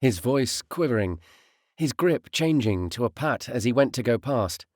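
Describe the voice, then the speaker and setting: A man reading a first-person literary audiobook in a measured, reflective way. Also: mid-range voice, lively intonation, no echo, studio quality. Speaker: audiobook narrator, voice artist.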